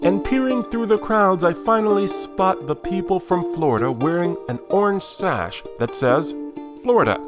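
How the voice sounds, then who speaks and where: News voice-over narrator, mid-pitch, heavy music bed. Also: expressive, normal speed.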